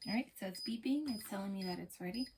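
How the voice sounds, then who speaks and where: A hoarse woman who has lost her voice, casually explaining an unboxing step by step and pausing with fillers, recorded in a small bedroom. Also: medium pitch, noisy background. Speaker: product reviewer.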